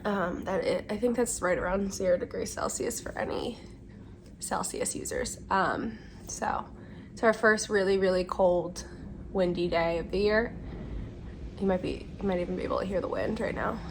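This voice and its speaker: A woman's voice: on the low side, animated, normal speed, noisy background. Chatty young woman with a cold. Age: 20s. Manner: chatty, rambling, with frequent ums and self-corrections. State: slightly congested from a cold. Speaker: podcast host. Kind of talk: casual chat. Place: home during a windy day.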